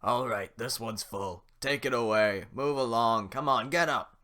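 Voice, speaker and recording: A man's voice: medium pitch, normal speed, fairly bright tone, pitched above the performer's own voice, lightly acted. A man voicing a brusque, bossy male head guard who barks orders and prices. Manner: barking orders.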